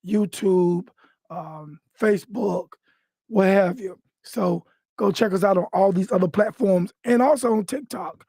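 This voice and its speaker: Male talk show host, casual and easygoing, high gravelly voice. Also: darkish tone, no room sound. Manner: laid-back, says man a lot. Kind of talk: casual live interview.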